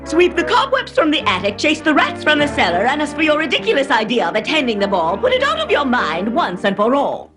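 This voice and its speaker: A woman's voice: high-pitched, wildly swooping pitch, brisk, few pauses, over music. Haughty, cruel stepmother with swooping voice. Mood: stern, commanding. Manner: imperious, scolding. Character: cruel, haughty middle-aged stepmother, scornful and domineering. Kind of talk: animated fairy-tale dub acting.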